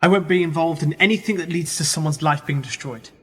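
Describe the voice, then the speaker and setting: An actor playing a wary, sceptical younger journalist in a radio play, speaking in a terse, dry, questioning way. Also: male voice, on the high side, fast talker, husky. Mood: firm. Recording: reverberant hall, noticeable background noise.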